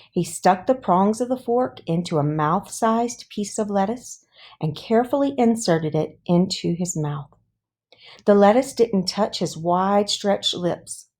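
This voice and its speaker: A woman with a fairly low voice reads a children's story aloud. She takes her time, going very slowly through each phrase and shaping it with deliberate emphasis. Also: darkish tone. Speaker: children's audiobook reader. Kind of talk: expressive read-aloud storytelling of a children's book.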